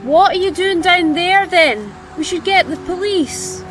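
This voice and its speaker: A woman's voice: very high-pitched, expressive, unhurried, a bit bright, soft background music, exaggerated voice. A woman playing a wary, cheeky twelve-year-old homeless girl, a younger sister, in an audio drama. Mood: anxious.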